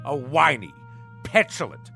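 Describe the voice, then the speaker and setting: An actor playing a pompous, blustering middle-aged husband obsessed with all things nautical, declaiming grandly in theatrical radio drama style. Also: male voice, medium pitch, sing-song, measured pace, gravelly, faint music behind, heavily acted. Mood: aggrieved, blustering. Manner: grandiose, declamatory, swinging from hushed to bellowing.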